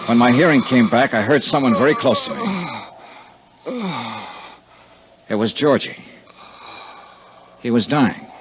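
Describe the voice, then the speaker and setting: A man's voice: medium pitch, normal speed, lightly acted. An actor playing a tough, weary insurance investigator who narrates his case tersely in 1950s radio drama style. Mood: grim, tense. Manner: terse, hard-boiled. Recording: dull 1950s radio recording with music.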